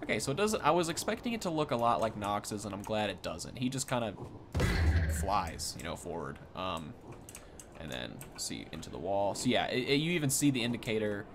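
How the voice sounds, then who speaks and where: A man's voice: lowish voice, big pitch swings, normal speed, noisy background. A man giving casual, off-the-cuff game commentary in an informal way, thinking aloud as he goes. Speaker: gaming content creator giving a first look.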